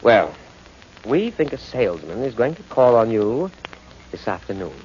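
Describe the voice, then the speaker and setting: An impatient male gang boss in a forties radio crime play. He is cold and scheming, giving curt orders with a very animated, swooping intonation. The sound is dull, with some background noise from the old broadcast. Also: lowish voice, normal speed. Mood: controlled, menacing. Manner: curt, commanding. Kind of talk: 1940s radio crime drama acting. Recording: muffled, noisy 1940s radio broadcast.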